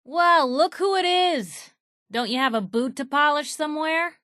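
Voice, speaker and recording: A woman voicing a sharp-witted, idealistic young female reporter companion in a video game, wry and conversational. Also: high voice, animated, a little slow, no room sound, studio quality, full character voice. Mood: sassy.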